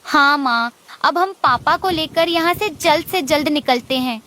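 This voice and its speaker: A woman's voice: sits high, measured pace, sharp tone. A woman voicing a childlike, pleading little daughter bird in a cartoon. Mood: worried. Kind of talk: Hindi cartoon voice acting.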